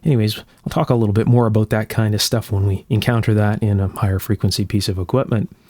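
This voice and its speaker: A man's voice: on the low side, steady tone, fast, mellow, dry recording. A man giving hands-on instructional commentary in a conversational, step-by-step way, as host of a repair show.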